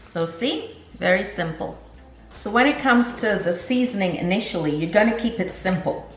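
A woman's voice: mid-range voice, animated, moderate pace, roomy sound. A woman in her forties, a home cooking vlogger, talking casually and upbeat while she demonstrates a recipe, laughing often. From Nigerian, Jamaican and American. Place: home kitchen indoors, garden outdoors at first.

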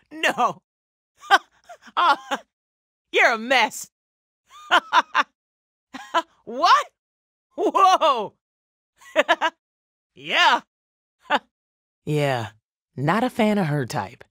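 A big brawny blacksmith lady from a cartoon game, brash n hearty, unhurried, close dry sound. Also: on the high side, very animated, bright, forceful tone, no room sound, exaggerated voice. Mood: amused. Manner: punchy, folksy, playful swagger. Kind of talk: animated video game voice acting.

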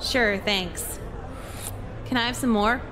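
A high-voiced, deadpan jaded schoolgirl. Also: takes it slowly, noisy background. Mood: flippant. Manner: deadpan, flippant, dark humour. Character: jaded, sarcastic seventeen-year-old schoolgirl in a visual novel. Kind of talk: visual novel game dialogue and narration.

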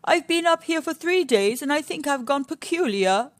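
A woman voicing a sly, hungry male lion who pleads and flatters, in a children's story. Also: sits high, normal speed, dry recording, voice pushed up. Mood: pleading.

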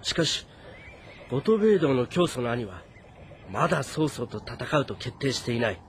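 A man's voice: mid-range voice, sing-song, normal speed, slight background noise, natural voice. A man playing an anxious, weary fortress commander of ancient China in a dramatic, earnest, brooding way. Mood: brooding, frustrated. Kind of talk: dramatic game voice acting with inner monologue.

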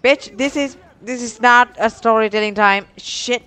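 A man's voice: very high-pitched, a little slow, pushed and bright, clean tone. A young man casually telling a story while commentating on a game, rambling in slang with heavy swearing. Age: young adult. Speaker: gaming video creator telling stories over gameplay.

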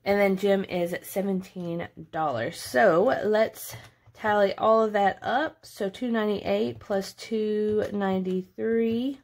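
A woman's voice: mid-range voice, slow pace, darkish tone. Female vlogger, late 20s, mid-range voice, casual and chatty, thinks aloud while walking viewers through a task. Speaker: budgeting vlogger. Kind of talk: casual narrated budget walkthrough.

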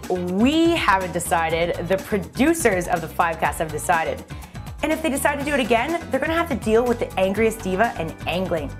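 Sassy Canadian woman host over music. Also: mid-range voice. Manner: playful mock-diva sass. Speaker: show host. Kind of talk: scripted show introduction.

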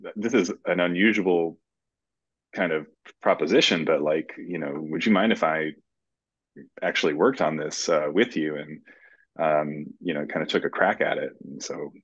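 A man in his thirties, a screenwriter casually telling his story in a halting way, over dull, dry video-call audio. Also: extremely low pitch, breathy edge, dry recording. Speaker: screenwriter being interviewed. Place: indoor room, video call.

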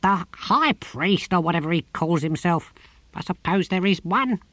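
A man's voice: high voice, expressive, normal speed, bright, forceful tone, no room sound, voice pushed up. A man voicing an imperious old tortoise who claims to be a god and barks commands and curses. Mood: imperious, furious.

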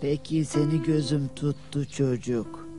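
A performer voicing a gruff giant mother, a man-eater's wife, in an old fairy-tale cartoon. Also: medium pitch, steady tone, unhurried, dark tone, faint music behind, natural voice. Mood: gruff, approving.

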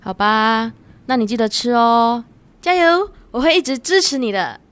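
A woman's voice: on the high side, moderate pace, smooth, dry recording, natural voice. A woman playing a chatty, devoted young girlfriend who is eager to please, in a radio drama. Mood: encouraging.

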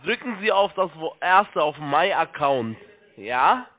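High-pitched man on a tinny phone line. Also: big pitch swings. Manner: halting, repeats himself, spells things out slowly. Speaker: call-centre agent, phone scammer. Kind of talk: step-by-step phone sales instructions. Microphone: telephone.

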